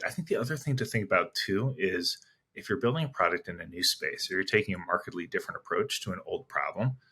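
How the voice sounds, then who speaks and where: Low-pitched man with a bright, forward voice. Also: breathy edge. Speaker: interview guest, sales executive. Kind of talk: conversational business interview, explaining.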